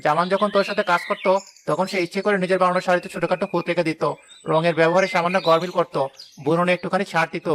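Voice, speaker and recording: A man's voice: sits high, level tone, very fast, background noise, natural voice. A man playing a frank, wise village uncle who speaks earnestly in a moral-story cartoon. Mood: solemn. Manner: earnest, admonishing. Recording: instrumental music under the voice.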